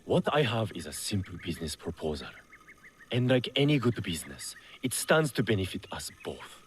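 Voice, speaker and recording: An actor playing a smooth, self-serving male smuggler boss in feudal Japan, suave and mock-courteous, in a video game. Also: lowish voice, big pitch swings, normal speed, a little hiss or hum. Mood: suave, calculating. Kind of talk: scripted video game cutscene acting.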